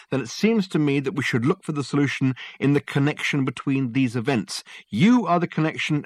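A man's voice: medium pitch, no room sound, pitched above the performer's own voice, close to an everyday voice. A man voicing a pompous, theatrical detective in a storybook, with elaborate, insistent phrasing. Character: pompous, theatrical, probing male private detective. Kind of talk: audiobook character dialogue.

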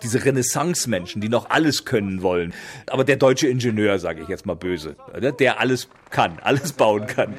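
A man's voice: on the low side, expressive, moderate pace. A man explaining literature informally and emphatically, opinionated, often checking in with short tag questions. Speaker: literary expert. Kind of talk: informal interview commentary on literature.

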